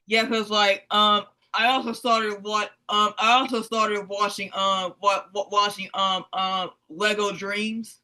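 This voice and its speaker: A young woman hosting a casual interview, speaking haltingly with many fillers and repeated words. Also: mid-range voice, level tone, sharp tone, poor audio. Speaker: interview host. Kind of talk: casual video-call interview.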